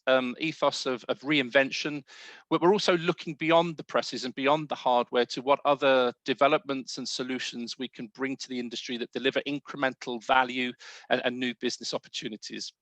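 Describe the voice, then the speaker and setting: A man hosting the event, delivering a scripted business pitch. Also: fairly even intonation, fairly quick, fairly bright tone, no room sound. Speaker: host. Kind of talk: prepared presentation.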